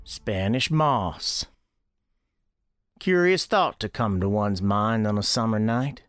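An actor playing an elderly country storekeeper, narrating an audio drama in a folksy, deliberate, musing way. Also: male voice, lowish voice, a bit bright, no room sound, clean studio sound, faint music behind. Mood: musing, unhurried. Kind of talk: first-person scripted narration, audio drama.

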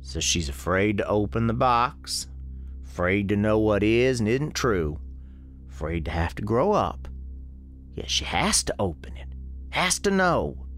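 A man playing a grave, folksy storekeeper, narrating an eerie radio drama in a measured, ominous way. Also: on the low side, very animated, fairly bright tone, faint music behind. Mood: solemn.

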